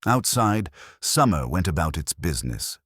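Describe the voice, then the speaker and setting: A male narrator with an extremely low voice reads a scripted detective story. His delivery is lively in pitch, and the sound is dry with no room on it. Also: normal speed, dry recording. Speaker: audiobook narrator.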